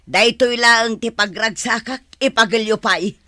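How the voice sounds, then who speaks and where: Radio drama: irritable gambler mother, loud, sharp, scolding, slightly high voice. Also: unhurried, no room sound, studio quality. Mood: irritated.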